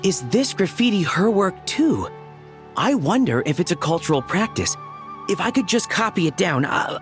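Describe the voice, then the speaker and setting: Earnest research assistant, bookish, precise, animated pitch, faint music, video game. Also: male voice, moderate pace. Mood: curious.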